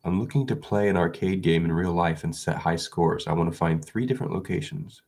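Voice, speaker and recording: A man's voice: low-pitched, a little fast. A man chatting casually to his viewers, congested and sniffly from an illness, rambling with frequent restarts. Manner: rambling, restarts, soft chuckles. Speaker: video creator. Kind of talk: casual vlog chat.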